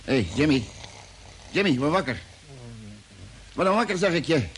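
A man's voice: on the high side, animated, moderate pace, close to an everyday voice. An actor playing a grumbling, firm but good-natured spaceship crewman in a radio drama. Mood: grumpy, impatient. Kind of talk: 1950s radio drama acting. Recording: old radio recording, faint hiss.

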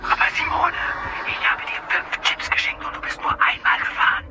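A man's voice: normal speed, heavy background noise, lightly acted. Man acting whispering, menacing male stalker. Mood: wounded, reproachful. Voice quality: breathy, voiceless whisper. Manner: coaxing, controlling, unsettlingly tender. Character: menacing male stalker, softly manipulative, sadistic phone whisperer. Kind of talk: radio crime drama acting.

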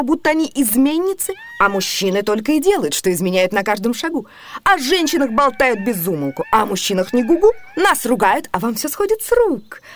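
An actress playing a brash, sharp-tongued servant woman in a classic comedy radio play, scolding in a folksy, indignant way. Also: fairly high pitch.

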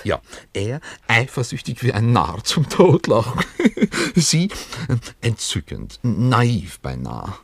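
A man's voice: medium pitch, huge pitch swings, slow, vocal fry, hardly any pauses, husky, dry recording, exaggerated voice. An actor playing a jovial, teasing baron and man about town in a German radio play, chatty, with little tag questions. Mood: coaxing, amused.

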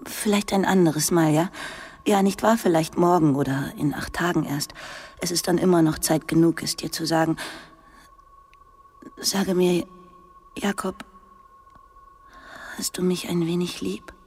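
An actress playing a wistful, gentle young lady teacher in a radio drama, confiding softly and haltingly. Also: fairly low pitch, fairly even intonation. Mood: wistful, pleading. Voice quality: soft, breathy, hushed. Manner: slow, halting, intimate confiding. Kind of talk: literary radio drama acting.

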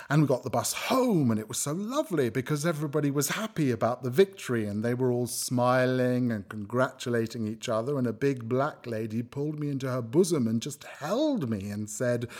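Actor as unsettled male storyteller for radio drama: mid-pitched, highly expressive, swooping intonation, confiding a dark memory. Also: lightly acted. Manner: expressive, mimics the people quoted.

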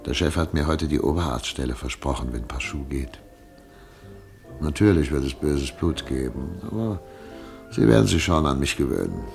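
An actor playing Albrecht, a hopeful German émigré doctor in a radio play, talking with dance music behind him. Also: male voice, extremely low pitch, lively intonation, moderate pace, a little mellow, heavily acted. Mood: eager. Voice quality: creaky.

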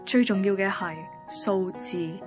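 A woman's voice: medium pitch, a little slow, close to an everyday voice. A woman playing a wistful, introspective young working woman in a radio drama, with a Hong Kong Cantonese accent. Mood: wistful, musing. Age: young adult. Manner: introspective, musing. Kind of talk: radio drama first-person monologue. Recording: clear music bed behind voice.

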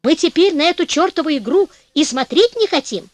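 A woman voicing a group of young men who protest loudly together. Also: high-pitched, expressive, fairly quick, no echo, voice pushed up. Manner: shouting in protest. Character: crowd of frightened young men.

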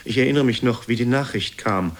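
A man's voice: medium pitch, fairly quick, close to an everyday voice. A man playing a meek, guilt-ridden aging son in a German radio play, speaking hesitantly and deferentially to his mother. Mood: unsure, pleading. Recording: noisy older radio recording.

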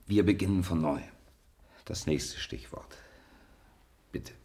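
An actor playing a stern, persistent scientist in a radio play, giving measured, insistent instructions in a husky, gravelly voice. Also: male voice, deep voice, mellow, exaggerated voice. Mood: measured, commanding. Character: stern, persistent male scientist running an experiment. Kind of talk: German radio play acting.

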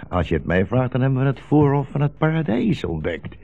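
An actor playing a weary, gentle old blind rabbi in a 1960s radio play, speaking in a solemn, wry, rhetorical way. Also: male voice, medium pitch, big pitch swings, a little fast, creaky. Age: older. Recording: dull 1960s radio recording, music behind.

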